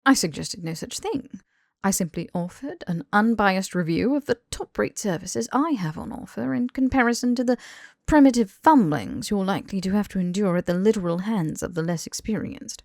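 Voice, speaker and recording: A woman's voice: mid-range voice, animated, normal speed, a little mellow, no room sound, clean studio sound, close to an everyday voice. A woman voicing a smug, posh young man who lectures his lover in long, pompous sentences for an audiobook. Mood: haughty, teasing. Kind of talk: audiobook character dialogue.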